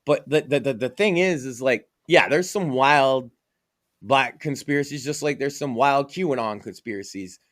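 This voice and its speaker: A man's voice: mid-range voice, moderate pace, fairly bright tone. A man from Massachusetts co-hosting a podcast, talking casually, with a profane, emphatic and opinionated manner. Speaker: podcast co-host.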